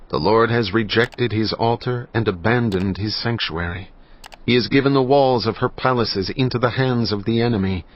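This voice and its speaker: A man narrating scripture in a dramatized style, with a solemn, measured, grave delivery. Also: deep voice. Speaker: Bible narrator. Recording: slightly muffled, faint music behind.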